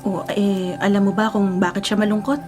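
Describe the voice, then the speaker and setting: A woman's voice: mid-range voice, level tone, brisk, music in the background, natural voice. A woman with a Filipino accent playing a caring, orderly schoolteacher in a radio drama, speaking in an instructive way. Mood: gentle, concerned. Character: caring, orderly female schoolteacher of young children. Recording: clearly noisy background ambience.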